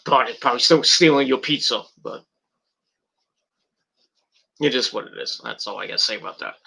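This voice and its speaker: A man's voice: medium pitch, moderate pace, gravelly, bright, forceful tone, slightly rough. A middle-aged man with a cold and a tickling cough, rambling casually in a vlog with many fillers and restarts. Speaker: wrestling video reviewer.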